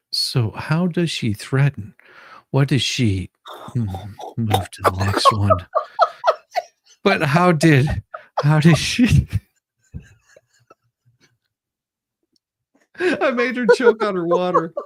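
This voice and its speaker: A man playing a questioning attorney in an amateur dramatic reading of a deposition, halting and repeating his words. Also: fairly high pitch, expressive, fairly bright tone, dry recording, voice pushed up, full character voice. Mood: amused, giggly.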